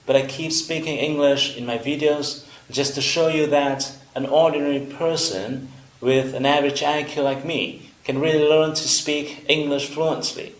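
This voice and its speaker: A young man with a Vietnamese accent, a native Vietnamese speaker teaching English in a careful, deliberate video lesson. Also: fairly high pitch, steady tone, echoey room, low-quality recording. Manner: careful, deliberate explanation with pauses and fillers. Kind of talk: slow instructional video lesson.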